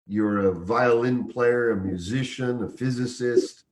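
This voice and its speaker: A man's voice: mid-range voice, measured pace, a little hiss or hum. A man born in Uganda hosting a livestream interview, introducing his guest with effusive, reverent praise. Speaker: host.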